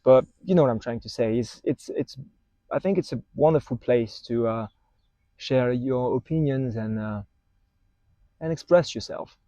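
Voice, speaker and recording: A man's voice: mid-range voice, normal speed, dark tone, no echo. A man in his twenties with a French accent, speaking hesitantly to camera in a park, with lots of fillers and restarts. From France. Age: 20s. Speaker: vlogger. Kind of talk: casual talk to camera. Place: city park outdoors. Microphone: wireless clip-on mic recorded to phone.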